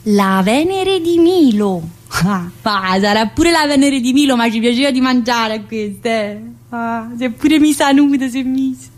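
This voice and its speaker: A woman's voice: on the high side, animated, normal speed, close to an everyday voice. An actress as a brash southern Italian woman prisoner. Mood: teasing, amused. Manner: colloquial, dialect-laced, addressing an unseen teacher. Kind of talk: theatrical dramatic monologue.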